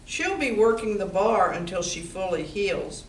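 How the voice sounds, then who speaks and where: A woman's voice: medium pitch, expressive, a little slow, lightly acted. A woman playing a wry, practical bar owner in an amateur comedy play, speaking in a dry, businesslike way. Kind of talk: amateur stage comedy radio play acting. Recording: echoey room from far off, background noise.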